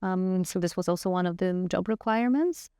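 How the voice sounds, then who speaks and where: A woman's voice: on the low side, fairly quick, mellow, smooth, no room sound, studio quality. A middle-aged woman from Poland with a Polish accent, speaking earnestly and frankly as an interview guest, often restarting her sentences. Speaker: guest scientist. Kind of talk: conversational interview answers.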